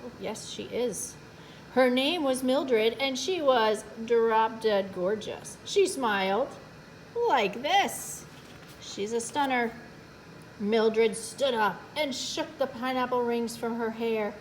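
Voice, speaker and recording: A woman with a slightly high voice reads a picture book to young children. She takes her time, telling the story playfully and turning to her listeners with chatty asides. There is faint noise behind her. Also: takes it slowly, rough recording. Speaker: children's librarian, storytime host.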